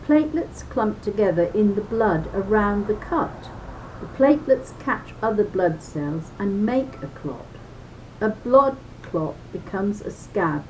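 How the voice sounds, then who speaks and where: Older woman, slow instructive children's read-aloud, chatty, noisy home recording with rumble. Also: darkish tone. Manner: deliberate, instructive, speaking as if to children. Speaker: reader of a children's health booklet.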